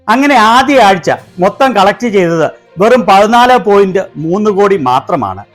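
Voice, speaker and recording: A man with a Malayali accent delivering scripted, critical commentary as a video host. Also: high voice.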